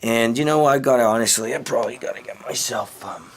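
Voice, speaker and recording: A man's voice: medium pitch, fast talker, lightly acted. An actor playing a defensive man accused by a podcaster, stammering and restarting as he protests. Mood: outraged. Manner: stammering, indignant, keeps restarting. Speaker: suspect. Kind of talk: scripted comedy audio drama.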